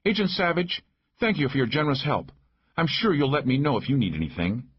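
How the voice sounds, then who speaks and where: A man's voice: mid-range voice, sing-song, fairly quick, slightly rough, muffled recording, voice pushed up. A man voicing a hot-tempered, foul-mouthed, combative female sheriff in an audiobook. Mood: defiant, blunt. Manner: combative, blunt, cursing. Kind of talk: audiobook character voice.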